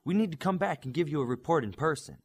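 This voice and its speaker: A man's voice: fairly high pitch, lively intonation, fast, slightly rough, voice pushed up. A man voicing an earnest young superhero tech expert in an audiobook, explaining things methodically. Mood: eager.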